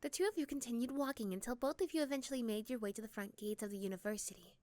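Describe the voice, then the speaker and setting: Female narrator, high-pitched, for second-person ASMR storytelling. Close, dry recording. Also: racing, darkish tone, dry recording. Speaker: narrator and voice performer. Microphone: close microphone.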